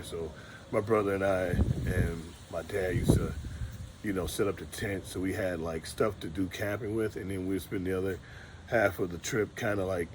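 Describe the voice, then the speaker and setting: A middle-aged man speaks in a very low, deep register. He talks in a relaxed, rambling vlog style, stopping to restart his sentences as he remembers. A faint ambient hum sits behind him. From Detroit, USA. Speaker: vlogger. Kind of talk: casual storytelling monologue.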